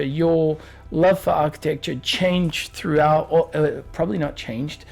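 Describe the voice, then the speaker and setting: Gravelly Portuguese-accented podcast host. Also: male voice, fairly high pitch, darkish tone. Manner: affirming, with frequent "yeah" and long winding questions. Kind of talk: interview hosting.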